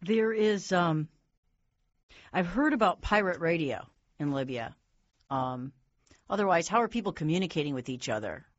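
Talk host: brisk, mid pitch, dry studio sound, slightly dull. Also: lively intonation, no echo. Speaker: radio talk show host. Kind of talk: call-in talk show hosting.

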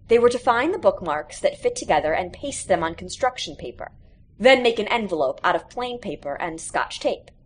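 A woman reading a short story aloud in an expressive, scripted narrating style. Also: mid-range voice, husky. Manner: animated, acts out quoted characters.

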